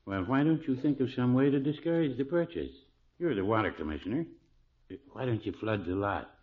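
A man acting a mild, fussy older small-town pharmacist in a 1950s radio comedy, dry, folksy and deadpan. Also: medium pitch, fairly quick, lightly acted. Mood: deadpan advising. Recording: dull old radio recording.

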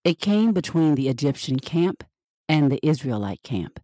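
A woman reading scripture as scripted narration for a Bible podcast, measured and expressive with the dialogue. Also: low voice, no echo, studio quality.

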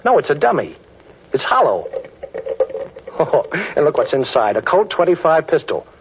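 An actor playing a quick-witted, confident amateur detective in a 1940s radio drama, breezy and wry, on a dull old recording. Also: male voice, a little high, very animated, background noise. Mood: excited, reasoning.

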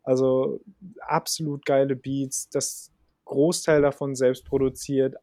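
A man's voice: mid-range voice, little pitch movement, slow, mellow, dry recording, studio quality. A young man chatting casually as a podcast co-host, colloquial, slangy and opinionated. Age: young adult. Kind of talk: casual podcast chat about music.

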